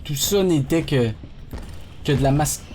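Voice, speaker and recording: A man with a Quebec French accent, an excitable streamer swearing often and talking to his chat during live gameplay commentary. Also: a little high, a little slow, slight background noise.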